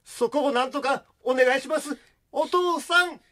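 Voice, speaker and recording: A man's voice: very high voice, moderate pace, bright, forceful tone. A man playing an earnest, gallant young suitor with a Kansai accent in a radio drama. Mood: pleading.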